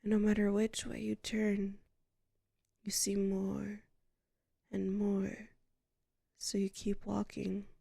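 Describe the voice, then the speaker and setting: A woman playing a soothing librarian hypnotist in a sleep ASMR roleplay, speaking softly and breathily in a half-whisper with lingering pauses. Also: medium pitch, monotone, vocal fry, breathy edge, no echo, studio quality, full character voice. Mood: soothing, drowsy. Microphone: close microphone.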